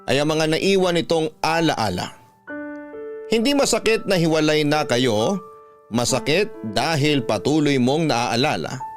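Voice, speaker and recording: A man with a Filipino accent giving sentimental, reflective first-person narration in measured phrases. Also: a little high. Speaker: storytelling host and narrator.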